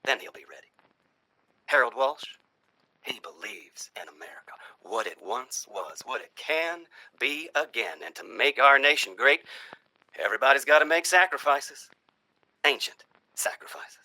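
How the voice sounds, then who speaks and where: Man playing a sharp, folksy, sinister campaigning politician. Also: mid-range voice, very animated, dry recording, exaggerated voice, poor audio. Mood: sinister, gleeful. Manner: folksy, rhetorical, dramatic pauses and hushed asides. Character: folksy, sinister cultist presidential candidate, genial and menacing. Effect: vintage old-time radio treatment. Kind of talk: theatrical campaign stump speech, satirical horror monologue.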